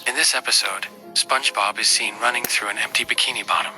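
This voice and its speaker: A man's voice: fairly low pitch, fast, rough recording. A man delivering scripted narration in the style of a spooky countdown video. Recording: prominent music under voice. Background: music.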